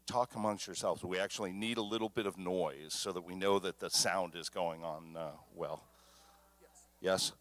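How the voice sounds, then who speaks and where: A man officiating a small wedding, speaking informally and haltingly into a microphone. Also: deep voice, fairly bright tone, no room sound. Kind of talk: informal wedding ceremony address. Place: small wedding ceremony at a temple, streamed. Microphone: microphone through a sound system.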